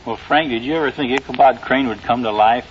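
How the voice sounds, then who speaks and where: Male radio guest, early 1950s sports writer; quick, halting, folksy yarns; dull old recording with some noise. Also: mid-range voice.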